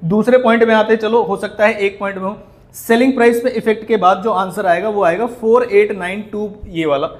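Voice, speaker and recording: High-pitched Indian man, indignant and emphatic. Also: steady tone, a little fast. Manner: indignant, scolding, emphatic, rhetorical questions. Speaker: accounting teacher. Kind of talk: explanatory video commentary on exam answer sheets.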